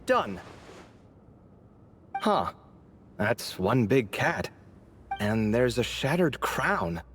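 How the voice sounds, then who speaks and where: A woman playing a sardonic, inquisitive young adventurer in a video game, with a dry, expressive delivery. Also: a bass voice, drawn-out words, slight background noise, heavily acted. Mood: curious. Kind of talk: video game character dialogue.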